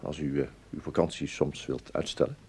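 An actor playing a tactful, dryly ironic intelligence officer in a Dutch radio play, speaking politely and a little hesitantly. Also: male voice, a bass voice, steady tone, moderate pace, creaky, darkish tone. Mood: tactful, reluctant. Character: wry, tactful male intelligence officer breaking bad news. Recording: noisy old radio drama recording.

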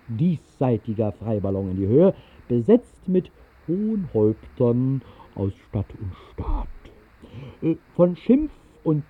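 Whimsical, animated radio-play storyteller, slightly dull sound. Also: male voice, medium pitch. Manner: wry, conversational storytelling with musing asides. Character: whimsical man recounting a fantastical night flight over the city. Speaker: first-person narrator of a radio play. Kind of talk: 1960s literary radio play narration, storytelling.

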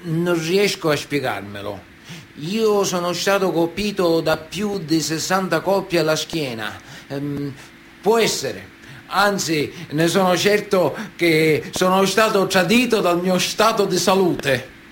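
An actor playing a proud, evasive man of honour in a radio play, with deliberate, sententious delivery. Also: on the high side, animated, fairly bright tone, a little hiss or hum. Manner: deliberate, sententious, with weighty pauses. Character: proud, evasive Sicilian mafioso, a self-styled man of honour. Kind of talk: theatrical monologue in a radio play. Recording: music under the voice. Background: music.

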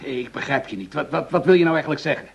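A gravelly, patronizing police commissioner. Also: male voice, on the high side, quick pace. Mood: puzzled, patronizing. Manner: dismissive, patronizing, hesitating with "nou". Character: pragmatic, patronizing senior male police commissioner, mildly impatient. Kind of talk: radio drama acting. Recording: slightly dull, lo-fi radio recording.

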